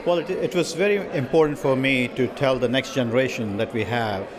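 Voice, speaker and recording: A man's voice: medium pitch, fairly quick. Earnest middle-aged man amid crowd. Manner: earnest, storytelling. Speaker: film producer interviewee. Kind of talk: interview. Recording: crowd noise behind. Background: crowd chatter.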